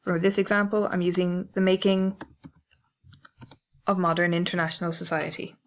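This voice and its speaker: Methodical British woman explaining software. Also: on the low side, level tone, moderate pace. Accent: British English. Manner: clear, methodical, spells out codes letter by letter. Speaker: library staff trainer. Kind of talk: instructional screencast narration.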